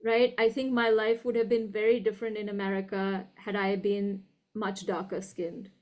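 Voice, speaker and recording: A woman with an educated upper-class Indian English accent, speaking reflectively and articulately in an interview, deliberate and emphatic, often checking in with "right?". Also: medium pitch, level tone, a little mellow. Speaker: guest, university professor.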